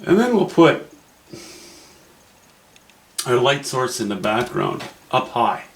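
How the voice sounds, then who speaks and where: A man's voice: mid-range voice, sing-song, takes it slowly, echoey room. A man with a Canadian accent, thinking aloud in a wry, musing way as he walks through a painting demo. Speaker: painting instructor. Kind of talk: casual painting demo narration.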